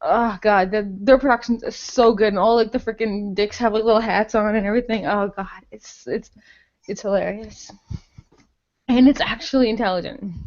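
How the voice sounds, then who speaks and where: A woman's voice: mid-range voice, steady tone, moderate pace, darkish tone, lo-fi sound. A young adult woman chatting casually on a podcast, gushing enthusiastically with lots of slang and swearing. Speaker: podcast co-host.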